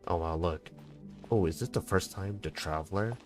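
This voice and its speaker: A man streaming a video game, casually reacting and joking with loud outbursts and swearing. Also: deep voice, moderate pace, soft background music. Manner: playful and swearing, reacting loudly. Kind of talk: casual gameplay commentary.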